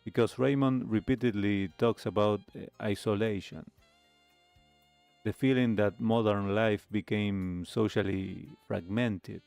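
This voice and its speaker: A man with a fairly low voice hosts a talk show in a reflective way. He phrases things deliberately, sometimes halting and repeating a word. The sound is very dry, with music playing behind him. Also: steady tone, creaky, a little mellow, smooth, no room sound. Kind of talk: reflective commentary on a video.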